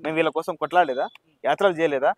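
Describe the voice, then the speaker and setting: A man's voice: a little high, racing, background noise. A young man with a Telangana Telugu accent, an activist arguing insistently and challengingly, often repeating himself. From Nalgonda district, Telangana, India. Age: young adult. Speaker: activist for unemployed job seekers. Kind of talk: heated argument and appeal.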